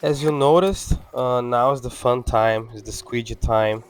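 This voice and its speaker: A man whose first language is Portuguese, speaking English with an accent as he talks over his window cleaning video, halting and full of fillers. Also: medium pitch, a little hiss or hum. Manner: halting, many fillers and restarts. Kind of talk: casual talk-over.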